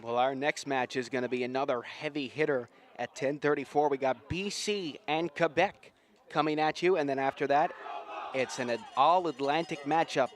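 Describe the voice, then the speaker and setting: Male play-by-play caller, slightly high voice, folksy and enthusiastic, live rugby outdoors. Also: normal speed, a faint crowd. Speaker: play-by-play sports commentator. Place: outdoors at a sports field.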